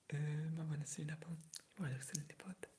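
An eighteen-year-old from Hungary whispering softly through an ASMR livestream, answering viewer comments while sick with a sore throat, rambling and hesitant. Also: medium pitch, fairly even intonation. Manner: soft unvoiced whisper, rambling, hesitant with fillers.

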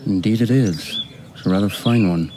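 An actor playing a courteous young American gentleman in a radio drama, polite, a little hesitant and gently teasing. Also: on the low side, normal speed, a little hiss or hum, natural voice. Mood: amused, teasing.

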